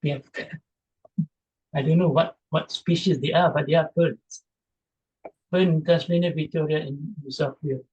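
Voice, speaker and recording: A man from Malaysia answers audience questions over a video call, repeating each question aloud and hedging with fillers. His voice is mellow and a little husky. The call audio sounds dull and lo-fi. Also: on the high side, fairly even intonation, a little slow, long pauses. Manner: reads out chat questions, answers with hesitant fillers. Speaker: presenter. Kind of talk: Q&A after an online talk.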